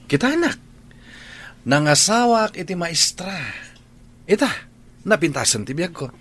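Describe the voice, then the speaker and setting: A man's voice: high voice, very animated, measured pace, fairly bright tone, heavily acted. A man playing a cocky, teasing cousin in a radio drama.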